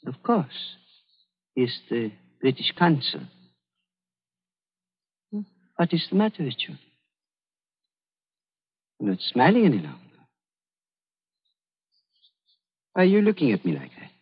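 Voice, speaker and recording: Jittery German doctor, wildly swooping voice. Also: male voice, medium pitch, wildly swooping pitch, moderate pace, pauses often, husky. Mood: indignant, defensive. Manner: stammering, nervous chuckling, stiffly formal. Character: nervous, blustering German doctor and conspirator. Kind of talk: 1940s radio acting. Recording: muffled vintage radio broadcast.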